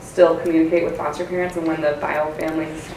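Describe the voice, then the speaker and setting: A woman's voice: low-pitched, level tone, fast, darkish tone, slight background noise. Female audience member, conversational question, echoey and distant room pickup. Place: lecture room, indoors.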